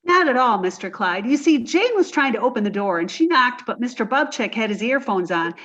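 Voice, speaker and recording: A woman's voice: a little high, expressive, fairly quick, fairly bright tone, lo-fi sound. A woman playing a sweet, scatterbrained young secretary in a 1950s-style radio comedy, with a breathless, dizzy, sing-song delivery. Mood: cheerful, dizzy.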